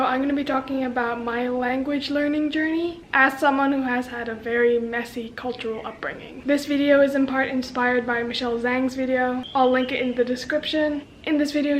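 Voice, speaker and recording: A young woman from Indonesia chats to the camera in a relaxed vlog. Her voice sits high, and she keeps talking in a loose, conversational flow peppered with "like". A faint hum of noise runs underneath the recording. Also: rough recording. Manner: chatty, frequent "like" fillers, quick asides. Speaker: language-learning vlogger. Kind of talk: casual talking-head vlog.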